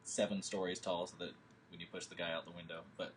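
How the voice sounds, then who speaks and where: A man's voice: sits low, little pitch movement, fast, slight background noise. A man leading a film table read, talking through plans in a rambling, hesitant way with many fillers. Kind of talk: casual discussion.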